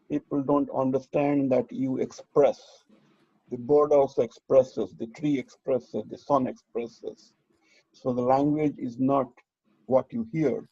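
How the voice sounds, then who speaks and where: A man moderating an online seminar, lecturing earnestly with halting restarts over dull video call audio. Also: medium pitch, dark tone, slightly rough, dry recording.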